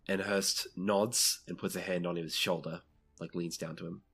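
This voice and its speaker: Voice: deep voice, steady tone, moderate pace, a bit bright, slightly rough. A game master with an easygoing, conversational manner, narrating a tabletop roleplaying game.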